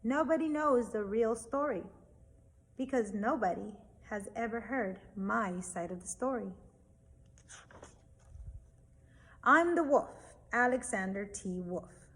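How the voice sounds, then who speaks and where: A woman's voice: on the high side, expressive, a little slow, fairly bright tone. A woman voicing a chatty, self-excusing male big bad wolf as she reads a storybook aloud in an echoey space. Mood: confiding. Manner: chatty, indignant, mock-innocent storytelling. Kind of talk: animated children's storybook read-aloud. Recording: echoey hall-like reverb.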